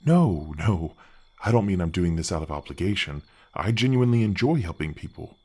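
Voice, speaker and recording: A man playing a big, kindly gargoyle guardian in a soft fantasy ASMR roleplay, earnest and a little bashful, with his voice deepened by an effect. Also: sing-song, brisk, slightly rough, heavily acted. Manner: earnest, courteous, slightly bashful, hesitant phrasing. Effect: deep-voice pitch effect.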